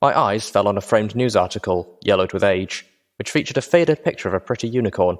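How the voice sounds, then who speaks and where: A man's voice: lowish voice, animated, a little fast. A man reading first-person dramatic fiction as an audiobook narrator.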